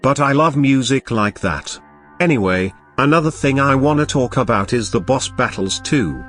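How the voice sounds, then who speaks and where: A young man talks through a retro game review in a casual, upbeat voice of middling pitch. He mixes enthusiasm with dry joking asides. Soft game music plays underneath. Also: lively intonation, moderate pace. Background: game music playing.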